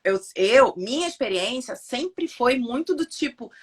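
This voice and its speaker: A woman from Brazil hosting an interview, talking casually with restarts and quoting others in lively asides. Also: a little high, a little slow, husky. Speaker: physiotherapist host. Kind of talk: conversational interview.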